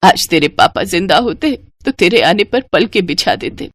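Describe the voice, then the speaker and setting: A woman voicing a gentle, older widowed mother in a cartoon, calm and soothing. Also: lowish voice, normal speed, no room sound. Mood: consoling. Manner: calm, consoling.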